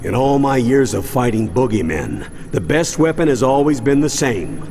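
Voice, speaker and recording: Older monster hunter, video game cutscene, grave, resolute, explanatory, mid-pitched, prominent music underneath. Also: male voice, very animated, moderate pace. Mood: resolute, urgent. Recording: game dialogue over music.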